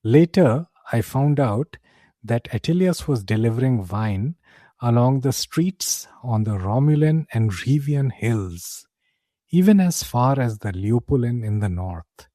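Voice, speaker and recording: Male storyteller for a fantasy audio drama, theatrical and measured, soft-edged tone, very dry recording. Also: mid-range voice, animated, no room sound. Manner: measured, theatrical, deliberate phrasing. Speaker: narrator, in character as a tavern keeper.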